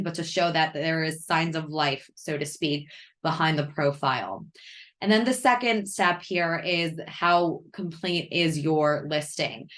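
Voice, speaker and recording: A woman who is losing her voice gives a friendly, explanatory webinar talk about marketing, sounding hoarse and naturally deep. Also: fairly bright tone. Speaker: customer success head presenting a webinar.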